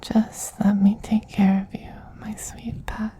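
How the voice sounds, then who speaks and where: A man playing a soothing, possessive femboy vampire queen in a soft, breathy whispered ASMR roleplay, slow and tender. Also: high-pitched, monotone. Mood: tender, gently teasing. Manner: slow, tender, coaxing endearments.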